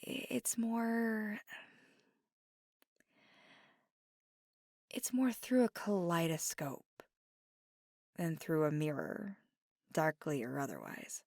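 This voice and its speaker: A woman voicing a playful, mischievous, kindly witch in an intimate, unhurried ASMR monologue that slips into near-whispers. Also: on the low side, expressive, a little mellow, dry recording, studio quality, exaggerated voice. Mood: gentle, reassuring. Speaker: ASMR audio performer.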